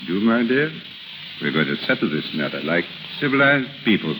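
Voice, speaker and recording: Proud middle-aged husband, expressive swings. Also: male voice, on the low side. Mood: resigned. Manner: formal, halting under emotion. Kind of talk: 1930s radio drama acting. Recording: noisy, sizzling 1930s radio recording.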